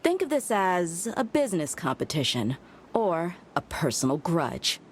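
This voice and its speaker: A low, menacing huntress. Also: female voice, big pitch swings, measured pace, a little hiss or hum. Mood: coolly taunting. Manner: taunting, confident, controlled. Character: cool, menacing female hunter taunting her prey. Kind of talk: dramatic video game voice acting.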